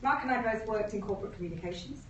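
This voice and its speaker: A woman's voice: medium pitch, fast. A woman in her fifties with a British accent gives a personal, reflective talk in an informal style. She sounds some way from the microphone in a reverberant room, with noticeable noise behind her. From Uganda-born, grew up in England. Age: 50s. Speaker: presenter, agency co-founder. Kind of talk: personal narrative presentation. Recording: roomy, noisy, distant mic.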